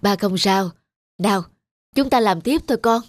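A woman voicing a gentle, devoted father in a cartoon, speaking tenderly. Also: medium pitch, normal speed, no echo, natural voice. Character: gentle blind father, devoted to his little daughter. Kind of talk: cartoon dubbing.

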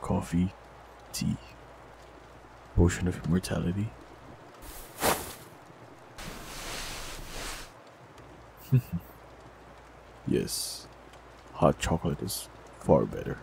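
A man's voice: low-pitched, takes it slowly, gravelly, pauses often, heavily acted. A man voicing an ancient immortal male forest warlock in an audio roleplay, speaking with dry, teasing humor. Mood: playful. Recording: steady rain noise behind voice. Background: rain.

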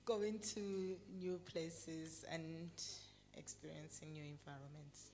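A woman from Zimbabwe, a church member, giving soft, hesitant answers into a microphone in a large hall. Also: deep voice, slow.

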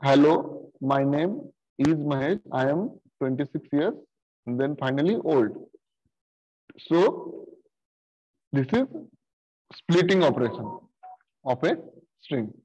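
Slow, halting male teacher. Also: on the high side, expressive, mellow, husky. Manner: slow, halting, many fillers and repeated "okay". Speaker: programming teacher. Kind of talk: screen-share coding lesson. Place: video call with screen share. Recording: dull lo-fi sound.

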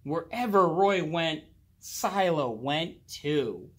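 A man reading a children's picture book aloud expressively, drawing out words and pausing. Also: fairly high pitch, breathy edge. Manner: slow, animated, with drawn-out words and pauses. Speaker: children's storybook reader. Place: indoors, library with bookshelves.

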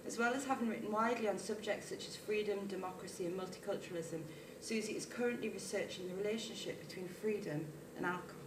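A woman's voice: medium pitch, quick pace, hardly any pauses. A woman chairing a public debate, introducing the panel speakers in a seminar room. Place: small seminar room, indoors. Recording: roomy, noisy, distant microphone.

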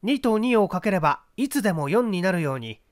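Man as high, commanding, courteous master detective. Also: big pitch swings, brisk, no room sound, studio quality. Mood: assured. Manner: emphatic, precise, courteous instructions. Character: brilliant male police detective, confident, polite, commanding. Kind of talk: literary audiobook character dialogue.

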